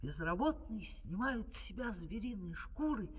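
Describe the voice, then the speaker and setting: A man's voice: high-pitched, very animated, normal speed, husky, long reverb tail. A man narrating a fairy-tale opera from a script on an old vinyl record.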